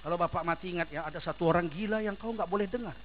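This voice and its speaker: Scornful unbelieving village father, hushed and warning, as a male preacher puts him on, on a dull recording. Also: sits high, rapid-fire, slight background noise, voice pushed down, lightly acted.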